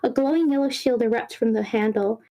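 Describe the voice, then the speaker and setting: Woman narrator, fairly high pitch, scripted action lines, live screenplay read. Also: brisk, dark tone.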